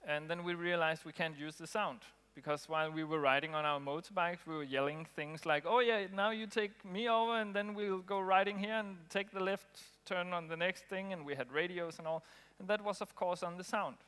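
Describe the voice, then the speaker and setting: A man from Denmark, giving a relaxed conference talk with frequent ums and pauses. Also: high voice, smooth. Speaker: conference speaker. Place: conference hall. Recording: long hall reverb.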